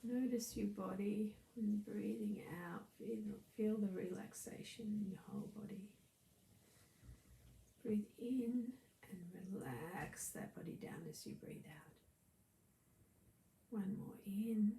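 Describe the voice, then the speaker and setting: A woman leads a guided meditation as a breathing teacher, speaking in a soft, soothing, gently instructive way that turns breathy at times. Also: mid-range voice, slow pace. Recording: roomy echo, faint background noise.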